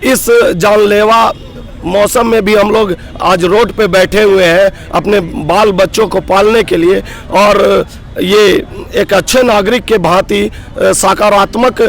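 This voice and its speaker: Hoarse, gravelly aggrieved man. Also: sits high, fairly even intonation, slow pace. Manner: aggrieved, earnest, builds to emphatic outbursts. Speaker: protesting block coordinator. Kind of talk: street interview. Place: roadside protest sit-in outdoors.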